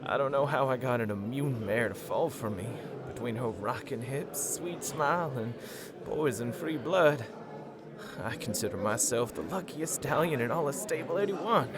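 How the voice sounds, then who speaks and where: A man's voice: medium pitch, animated, moderate pace, a crowd in the background, close to an everyday voice. A man playing an easygoing, joking stallion worker and proud family man in casual audio drama banter. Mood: happy, proud.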